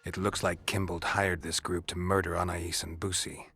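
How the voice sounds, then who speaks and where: An actor playing a stoic monster hunter in a video game, with an American accent and a gravelly, deadpan, terse delivery. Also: male voice, moderate pace, dry recording, soft background music, exaggerated voice. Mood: matter-of-fact, wry. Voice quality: low gravelly growl, breathy at phrase ends. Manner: deadpan, terse, dryly sardonic. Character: gruff, stoic male monster hunter for hire, dry and blunt.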